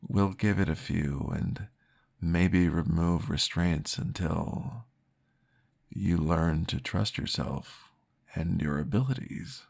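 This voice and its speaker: A man voicing a calm, patient male vampire therapist, soft-spoken and reassuring, often dropping into a whisper in an ASMR roleplay. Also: deep voice, slow, exaggerated voice. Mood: calmly upbeat. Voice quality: gravelly, husky. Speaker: therapist guiding a listener.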